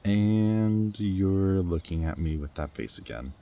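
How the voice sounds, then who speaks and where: A man's voice: deep voice, expressive, slow pace, warm tone, heavily acted. A man playing a goofy, affectionate young rebel scientist in an intimate roleplay audio drama, talking tenderly and earnestly to his partner. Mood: upbeat, affectionate. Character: goofy, affectionate young male rebel lab scientist and anxious foster parent.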